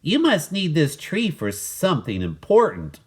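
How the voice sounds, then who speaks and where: A man's voice: on the high side, wildly swooping pitch, unhurried, breathy edge, pitched above the performer's own voice, full character voice. A man voicing a curious, easily alarmed young boy in a storybook, exclaiming and asking earnest questions.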